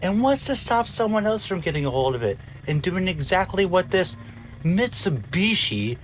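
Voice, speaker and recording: A man playing a haughty, callous, excitable scientist in a radio drama, speaking in a pompous, theatrical and disdainful way. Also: high voice, normal speed, a little hiss or hum. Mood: scornful, argumentative.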